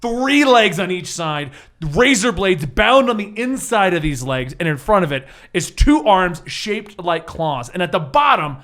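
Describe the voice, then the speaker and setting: A man's voice: high-pitched, big pitch swings, unhurried, few pauses, fairly bright tone. A man working as game master, hosting a tabletop superhero game, explaining rules and narrating scenes.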